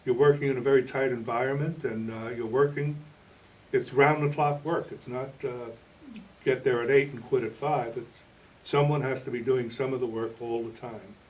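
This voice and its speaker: An older man in his sixties speaks as an interview guest, explaining in a measured, halting way. His voice sits at an ordinary male pitch, picked up a little away from the microphone. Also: steady tone, darkish tone, distant mic, low-quality recording. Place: indoor TV studio, green screen.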